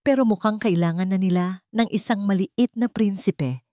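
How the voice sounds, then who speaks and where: A woman's voice: mid-range voice, normal speed, dark tone, dry recording, studio quality, natural voice. A woman with a Filipino accent voicing a loving, contented wife and mother in a story. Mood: coy.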